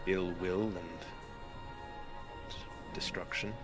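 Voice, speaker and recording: Deep, flat-voiced male player. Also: slow, music in the background. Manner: grave, formal in-character speech. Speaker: player speaking in character.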